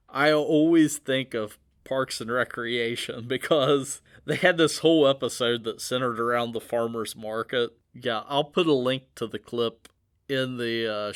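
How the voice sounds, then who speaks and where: A man hosting a casual gardening podcast, chatty and easygoing, who laughs at his own asides. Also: medium pitch. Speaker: host.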